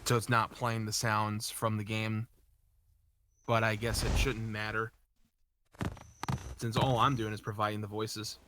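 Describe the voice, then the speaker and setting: A man's voice: on the low side, little pitch movement, unhurried, pauses often, noisy background. A man co-hosting a game video, chatting casually and reading out the script. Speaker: co-host providing voices.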